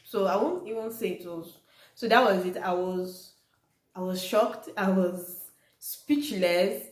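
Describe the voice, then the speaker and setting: A woman's voice: mid-range voice, slow pace, slight background noise. A young Nigerian woman, a native Yoruba speaker, vlogging in a casual, chatty storytelling way to her viewers. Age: young adult. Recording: echoey room.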